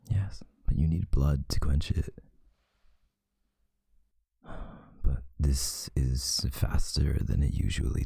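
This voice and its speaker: A man voicing a brooding, lovesick vampire boyfriend in a whispered ASMR roleplay, breathy and gravelly, murmuring intimately and tenderly with long pauses. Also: a bass voice, warm tone, full character voice. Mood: soothing, protective.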